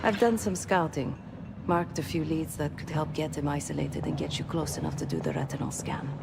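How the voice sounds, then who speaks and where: An actress playing a cool, brisk female covert operative in a video game cutscene. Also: low voice, steady tone, gravelly. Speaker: operative who has done scouting for the team. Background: music.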